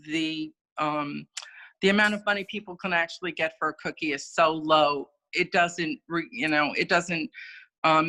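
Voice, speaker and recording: A woman's voice: sits low, sharp tone. An older woman chatting on a video call, rambling with many fillers. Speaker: guest cookie artist and teacher. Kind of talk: casual video-call chat.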